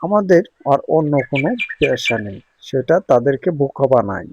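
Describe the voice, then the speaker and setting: A voice actor playing a scheming male crow thief who talks in a low, conspiratorial way. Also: big pitch swings, moderate pace, highs cut off. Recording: noisy recording.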